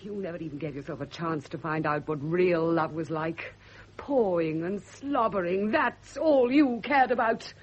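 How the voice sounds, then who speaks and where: A woman's voice: on the low side, unhurried, husky. An actress playing a bitter, jealous woman, cold and scornful, in a radio drama. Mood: venomous fury. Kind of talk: 1970 radio drama acting. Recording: dull, band-limited old radio recording.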